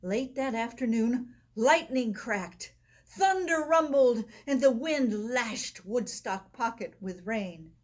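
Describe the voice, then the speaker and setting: A woman reads a children's story aloud in a slightly high, husky voice. Her delivery is expressive and swings up and down in pitch. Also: slow. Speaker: storybook reader. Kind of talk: expressive read-aloud of a children's picture book.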